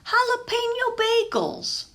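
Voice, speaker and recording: Woman putting on an eager, high-pitched little storybook boy. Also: sing-song, slow, a bit bright, full character voice. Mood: excited. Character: eager young boy, child of bakers.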